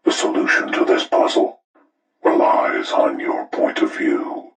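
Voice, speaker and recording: An actor playing a sinister, calm, moralizing killer in a video game, speaking slowly and deliberately with gravelly menace. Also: male voice, close to an everyday voice. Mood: cold menace. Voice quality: gravelly, very deep. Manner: slow, deliberate, menacing, measured. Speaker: villain taunting his captives with instructions. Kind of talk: scripted video game villain monologue.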